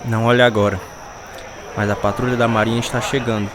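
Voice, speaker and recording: An actor playing a young member of a street evangelism group in a radio drama. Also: male voice, mid-range voice, level tone, brisk, natural voice. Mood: wary. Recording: noisy outdoor ambience.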